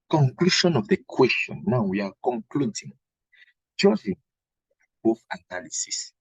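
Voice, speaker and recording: Husky Cameroonian man teaching. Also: on the high side, no room sound, low-quality recording. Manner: didactic, repeats phrases and checks with "right?". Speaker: history teacher. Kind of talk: exam revision lesson.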